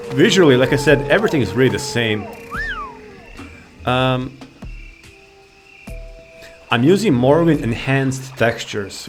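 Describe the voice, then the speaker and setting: A man giving casual, rambling gameplay commentary, laid-back and thinking aloud. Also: mid-range voice. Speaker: gaming video creator.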